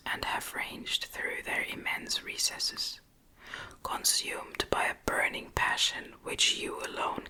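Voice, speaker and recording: A woman reading a gothic novel as an ASMR narrator in a soft, breathy, gentle whisper. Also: low-quality recording. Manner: slow, gentle, deliberate reading. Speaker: audiobook narrator.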